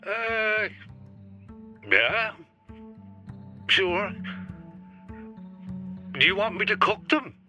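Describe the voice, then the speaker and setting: Obliging fellow, high voice, very slow, tentative, bemused, radio drama. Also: male voice, expressive, pushed and bright, soft background music, full character voice. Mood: hesitant, obliging. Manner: hesitant, tentative. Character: hesitant, obliging, bemused male neighbour.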